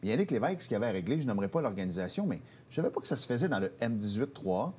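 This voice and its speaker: A man's voice: mid-range voice, very animated, rapid-fire, darkish tone, slight background noise. A man with a Quebec French accent, co-hosting a sports show with casual, familiar and emphatic chat. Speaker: sports show co-host, former hockey coach.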